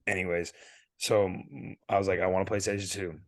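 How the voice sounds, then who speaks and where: Low-voiced man, throat going hoarse. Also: fairly quick, dry recording. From California. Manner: rambling, animated storyteller. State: losing his voice, clearing his throat. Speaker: podcast co-host. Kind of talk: casual podcast chat.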